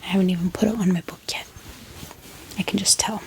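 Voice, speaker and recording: A woman speaking in a soft, gentle ASMR whisper, musing aloud in an unhurried way as she hosts. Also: lowish voice, fairly even intonation, a little hiss or hum. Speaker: ASMR book haul host.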